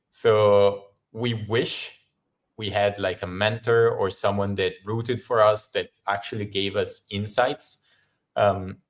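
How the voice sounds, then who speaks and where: An Italian man from Milan co-hosting a live stream, chatting in an upbeat, welcoming way. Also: on the low side. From Italy. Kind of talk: casual live-stream chat. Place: home in Bali, at night.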